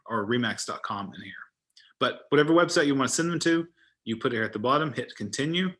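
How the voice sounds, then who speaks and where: A man's voice: medium pitch, bright, forceful tone. A man giving an informal tutorial as a trainer, thinking aloud as he demonstrates each step on screen. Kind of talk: informal screen-share walkthrough.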